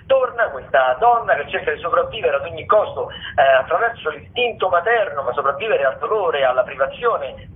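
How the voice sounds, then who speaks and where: A man presenting theatre listings as a radio host, in a halting delivery with frequent self-corrections. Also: fairly high pitch. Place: radio broadcast. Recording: muffled narrowband telephone-like radio audio.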